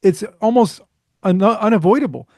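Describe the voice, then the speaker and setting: A man, a startup founder, talking as a podcast guest in an energetic, fluent way with rhetorical questions. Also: sits high, moderate pace, no room sound, clean studio sound. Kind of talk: casual interview chat.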